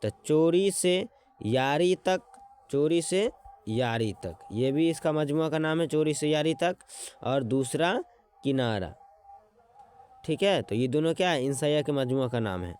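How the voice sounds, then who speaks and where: A man teaching an exam-prep lesson, repeating words slowly as he writes them out and checking in with his students as he goes. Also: a little high, animated, a little mellow, soft background music. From Bihar.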